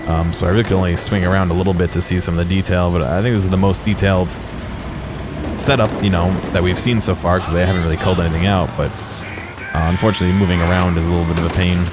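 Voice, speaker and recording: A man giving casual, unscripted video game commentary in a halting way, as if thinking aloud. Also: deep voice, flat delivery. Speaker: host of a game exploration video. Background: music behind the voice.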